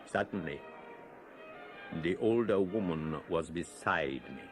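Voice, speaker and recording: An actor playing an older general in a radio drama, grieving and vengeful, speaking formally and intensely in a husky voice. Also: male voice, sits low, slow, faint music behind. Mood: grave, haunted. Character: grief-stricken, vengeful old general, courtly and intense. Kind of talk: 1980s radio drama acting.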